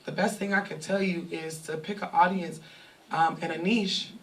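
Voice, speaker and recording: A woman in her thirties from California talks openly to a live audience at an event. She is animated and often breaks into laughter. Her voice sounds somewhat distant from the microphone. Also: lowish voice, normal speed. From Sacramento, California. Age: 30s. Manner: animated, laughing, loud bursts of excitement. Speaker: online creator speaking at fan event. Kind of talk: candid talk to a live audience.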